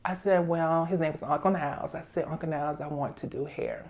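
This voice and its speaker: Woman, 50s, talk show guest, somewhat low voice, emphatic, repeats herself. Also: fairly quick. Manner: emphatic, repeats herself, talks with relaxed confidence. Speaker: guest hairstylist. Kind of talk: casual interview chat.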